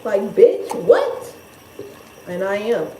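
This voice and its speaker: A woman's voice: mid-range voice, sing-song, slow pace, noisy background. A woman from Detroit with a Detroit accent, chatting on a live stream, laughing often and reacting to her viewers' comments. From Detroit, Michigan. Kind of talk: casual live-stream chat. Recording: roomy echo.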